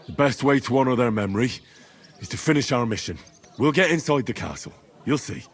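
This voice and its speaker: An actor playing an earnest, level-headed and loyal young squire in a medieval video game. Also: male voice, medium pitch, expressive, a little fast. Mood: resolute. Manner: earnest, reassuring. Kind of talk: video game cutscene acting.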